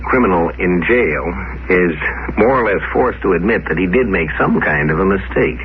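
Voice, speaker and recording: A man hosting a radio programme with measured, musing, conversational commentary. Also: sits low, expressive, noisy background. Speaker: host narrator. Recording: tinny old radio recording, music behind.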